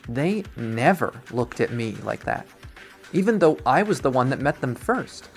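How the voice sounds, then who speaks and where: A streamer narrating a visual novel readthrough in an expressive, performative way. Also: mid-range voice, unhurried, soft background music. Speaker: video game readthrough streamer.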